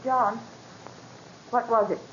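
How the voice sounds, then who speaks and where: A woman's voice: on the high side, steady tone, slow pace, husky, exaggerated voice. An actress playing an anxious, insistent middle-aged wife and mother in a 1940s radio drama, with an earnest, pleading delivery. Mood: dread, grief. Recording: muffled noisy 1940s radio recording.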